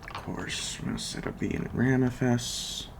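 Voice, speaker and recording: A soft-spoken ASMR performer in a repair roleplay as a computer technician, murmuring haltingly while absorbed in the task. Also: mid-range voice, steady tone. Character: unhurried computer technician repairing a robotic client. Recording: loud fan noise, home recording. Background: PC fan, keyboard typing, clicks, creaking chair.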